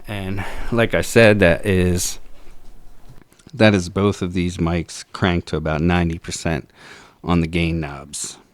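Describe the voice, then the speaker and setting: A man with a low voice talking through a microphone demo in a home studio, slow and measured with frequent fillers. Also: steady tone, no room sound. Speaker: video host. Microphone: close to a studio microphone.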